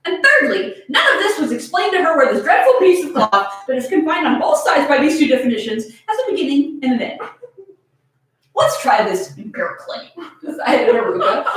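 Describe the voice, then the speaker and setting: A woman's voice: high-pitched, fairly bright tone. A woman giving a comedic dramatic reading of fan fiction, giggly and laughing through her words. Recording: echoey room, distant microphone.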